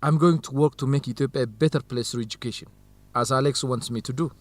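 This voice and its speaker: A man's voice: mid-range voice, quick pace, no room sound, close to an everyday voice. An actor playing an earnest young male university student in love, speaking carefully in a radio drama for English learners. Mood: sincere, determined.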